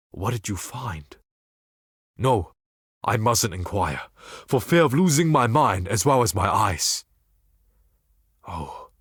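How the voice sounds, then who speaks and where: An actor playing a blind, scheming and tormented desert seer in a fantasy game, speaking in solemn, formal, theatrical monologue. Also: male voice, lowish voice, fairly bright tone, slightly rough, no echo, studio quality, exaggerated voice. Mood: wary, then anguished. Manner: solemn, formal, ominous, deliberate theatrical delivery.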